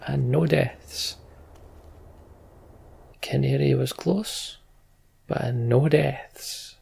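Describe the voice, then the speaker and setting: A man's voice: medium pitch, very slow, creaky, a little mellow, a little hiss or hum. A man giving casual, muttered gameplay commentary and reading story text aloud, as a let's play host.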